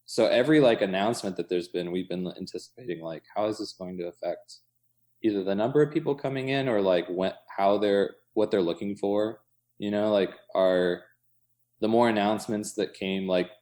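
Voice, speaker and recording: A man's voice: lowish voice, normal speed, a little mellow. An American man talking casually in an interview, thinking aloud in loose fragments peppered with "like" and "you know". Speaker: coffee shop owner being interviewed.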